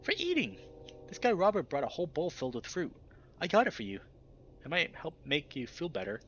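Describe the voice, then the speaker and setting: A man's voice: on the high side, wildly swooping pitch, a little fast, fairly bright tone, muffled recording, slight background noise, pitched above the performer's own voice. A man voicing an earnest, timid young teenage lynx boy in a visual novel, hesitant and apologetic. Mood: eager, apologetic. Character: earnest, timid thirteen-year-old lynx boy in ghost costume.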